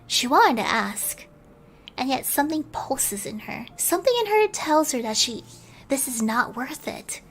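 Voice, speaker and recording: A woman streamer reading a bedtime story in a soft ASMR style, at times slipping into a whisper. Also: high voice, big pitch swings, measured pace, soft background music, poor audio. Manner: soft-spoken, sometimes whispering.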